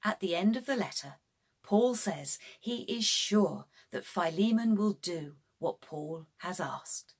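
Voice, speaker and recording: A woman narrating a scripted children's bedtime story in simple, deliberate phrases for young listeners. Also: fairly low pitch, animated, husky.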